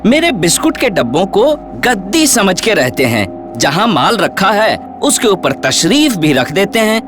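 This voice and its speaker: A man's voice: high voice, sing-song, measured pace. A man playing a squashed talking biscuit carton in a training film, grumbling with indignation. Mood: indignant. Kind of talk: acted dialogue in a training film. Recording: music behind the voice.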